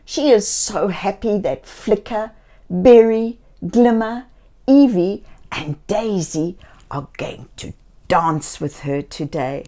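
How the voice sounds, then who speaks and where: A woman reading a children's story aloud in a gentle, theatrical way, speaking directly to young listeners. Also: medium pitch, slow. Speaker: children's story reader and host.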